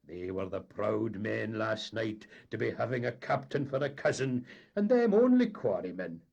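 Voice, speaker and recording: A man voicing a vain, boastful Highland boat captain with a Scottish accent. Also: big pitch swings, moderate pace, gravelly, darkish tone, muffled recording, exaggerated voice. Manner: blustering, boastful.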